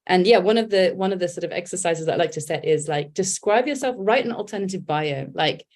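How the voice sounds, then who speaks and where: A woman talks about her creative work in a relaxed interview. Her voice sits low, and her speech is reflective and candid, with frequent fillers and restarts as she gathers her thoughts. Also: fast talker, a little hiss or hum. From England. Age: 40s. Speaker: guest, poet and writer.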